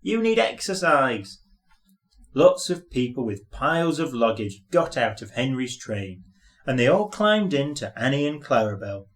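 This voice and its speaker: A man's voice: medium pitch, very animated, moderate pace. A man reading a scripted children's story as narrator.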